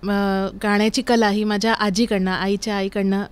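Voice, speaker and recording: A woman's voice: medium pitch, flat delivery, moderate pace, clear, resonant tone. A woman from Maharashtra, a singer, giving conversational answers as a guest on a studio podcast. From Maharashtra, India. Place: recording studio.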